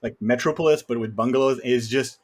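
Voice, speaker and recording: A man's voice: mid-range voice, fairly quick, a little hiss or hum. A man in casual film discussion, speaking in a wry, opinionated way. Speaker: podcast guest co-host.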